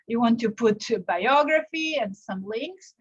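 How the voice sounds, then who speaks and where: A young woman from Serbia giving a live online software tutorial, explaining step by step with frequent fillers and restarts. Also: fairly high pitch, expressive, a little slow, slightly rough, lo-fi sound, soft background music. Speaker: teacher presenting a software tutorial. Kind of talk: live tutorial with screen share.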